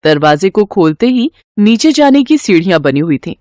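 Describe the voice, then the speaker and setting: A woman's voice: mid-range voice, very animated, normal speed, a little mellow, dry recording. A woman narrating a scripted children's moral story.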